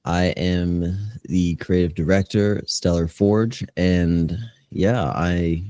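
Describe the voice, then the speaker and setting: A man with a very deep voice speaks slowly and hesitantly, stumbling over his words as an interview guest. His call microphone gives a dry, close sound. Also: fairly even intonation, warm tone, no room sound. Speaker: creative director.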